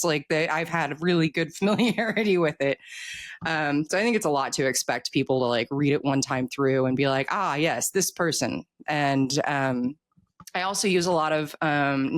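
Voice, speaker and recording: An author being interviewed, talking casually and informally with emphatic, opinionated delivery. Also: mid-range voice.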